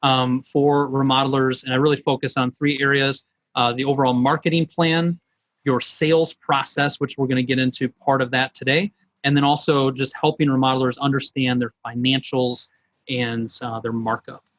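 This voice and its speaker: A man's voice: mid-range voice, little pitch movement, normal speed, a bit bright. A middle-aged man, a business coach, presenting online in an informal, folksy, conversational way.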